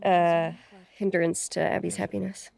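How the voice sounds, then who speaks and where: A woman with a slightly low voice and plenty of vocal fry chats casually in an unscripted commentary. She speaks as one of a cast looking back on the work. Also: little pitch movement, unhurried, a little mellow, slight background noise.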